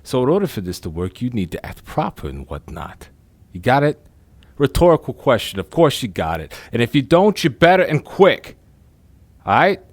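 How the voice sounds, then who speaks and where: An actor playing a brash, wisecracking crime boss with slangy, bossy street talk in an audio drama. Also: male voice, medium pitch, sing-song, moderate pace, no room sound. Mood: bossy, brisk.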